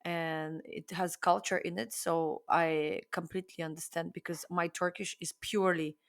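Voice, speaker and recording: A woman co-hosting a casual podcast conversation, thinking aloud in a reflective way with frequent pauses. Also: lowish voice, flat delivery. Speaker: podcast co-host.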